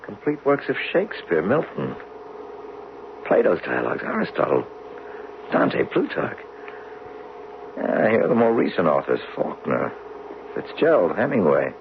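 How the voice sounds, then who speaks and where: A man acting in a 1970s radio drama as a driven, learned geologist, speaking intensely and deliberately with theatrical pauses. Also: deep voice, wildly swooping pitch, gravelly, exaggerated voice. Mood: curious, absorbed. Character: driven, learned geologist obsessed with solving a buried mystery. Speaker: actor in a radio play. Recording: dull, noisy 1970s radio recording.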